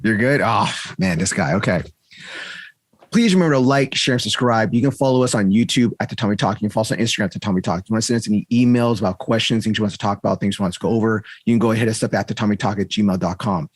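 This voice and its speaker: A man's voice: fairly low pitch, very fast, no echo. A man co-hosting a casual podcast, rambling through stories and laughing often. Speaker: podcast co-host. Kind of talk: casual chat.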